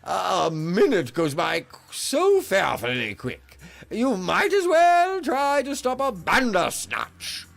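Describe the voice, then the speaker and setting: Fussy excitable old king, shrill and drawn out. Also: slow, vocal fry, heavily acted. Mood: fussy excited.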